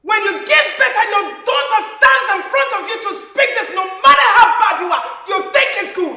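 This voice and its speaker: Hard-hearted nigerian widow mom, sharp-tongued and defiant, high voice, echoey muffled film sound. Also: female voice, sing-song, fairly quick. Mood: scolding. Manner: defiant, retorts with repeated questions. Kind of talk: film drama acting.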